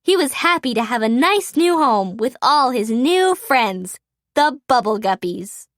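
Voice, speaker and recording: Female storybook narrator: bright-pitched and very expressive, clear phrasing for little kids, dry sound. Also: unhurried, no echo. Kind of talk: children's read-aloud narration.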